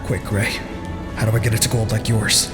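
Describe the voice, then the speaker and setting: A man's voice: lowish voice, little pitch movement, fairly quick, close to an everyday voice. An eager young male hero acted out by a man in a fan audio drama, earnest and hopeful. Music plays prominently behind him. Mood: gleeful.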